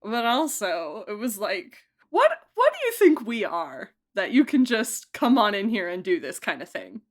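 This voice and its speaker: A woman's voice: mid-range voice, big pitch swings, a little slow. A woman being interviewed as a producer, chatting informally and emphatically. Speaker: audio drama producer and director being interviewed. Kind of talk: casual chat.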